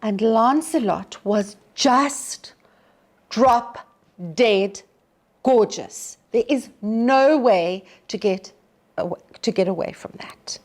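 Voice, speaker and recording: Female storytime teacher, very unhurried delivery, slightly high pitch, expressive and deliberate with young listeners. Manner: deliberate, expressive, addressing children. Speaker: teacher reading a story to pupils. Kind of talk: storytime introduction and dramatic poetry reading.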